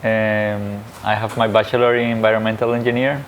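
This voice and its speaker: A man's voice: lowish voice, little pitch movement, brisk, slight background noise. A nervous young man, a student guest rambling and apologizing as he introduces himself. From Colombia. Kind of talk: casual interview answers.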